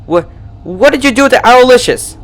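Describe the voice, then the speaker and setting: A man's voice: very high-pitched, animated, moderate pace, voice pushed up. A man voicing a frightened but defiant young female pony, snappy and agitated, in a horror fan fiction reading. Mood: frightened pleading.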